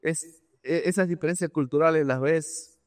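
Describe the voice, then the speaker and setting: A man from Argentina, answering interview questions conversationally as a business executive, haltingly, repeating words and dropping in English terms. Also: on the high side, no room sound, studio quality.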